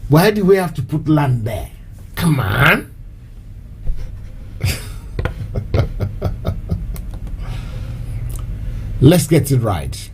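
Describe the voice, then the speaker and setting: A man's voice: medium pitch, big pitch swings, extremely slow, pauses often, slightly rough. A man hosting a live-stream show, talking in a loose, repetitive way and slipping in short Yoruba phrases. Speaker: host of an online activist show. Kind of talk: casual live-stream address.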